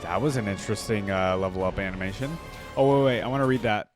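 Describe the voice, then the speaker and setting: A man's voice: fairly low pitch, fast. A man hosting a reaction video, rambling conversationally and musing aloud in casual commentary. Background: game music.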